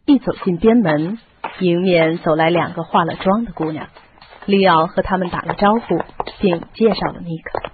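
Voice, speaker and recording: A woman's voice: fairly low pitch, moderate pace, noisy background. A woman reading scripted narration for a radio drama. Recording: muffled phone-like radio recording. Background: music.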